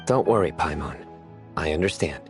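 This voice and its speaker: Low, deadpan joker. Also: male voice, normal speed. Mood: dry, teasing. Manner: deadpan, dry, teasing. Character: laid-back, deadpan young man fond of puns. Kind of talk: video game voice acting. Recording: music playing behind the voice.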